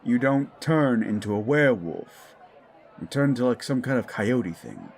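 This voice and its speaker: A man's voice: medium pitch, very animated, moderate pace, creaky. A man voicing a scrawny, defensive werewolf bully who stammers, blusters and swears in an audio drama. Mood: smug, awkward. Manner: flustered stammering, blustering, foul-mouthed. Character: Fenris, scrawny hot-headed werewolf bully, male, defensive. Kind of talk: scripted audio drama dialogue.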